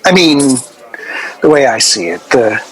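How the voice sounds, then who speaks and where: An actor playing a rambling, self-absorbed professor in a radio drama interview, hesitant and fussy, drifting into digressions. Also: male voice, mid-range voice, sing-song, measured pace, a bit bright, breathy edge, full character voice, low-quality recording. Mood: defensive, pedantic. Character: rambling, self-absorbed male academic record-label curator.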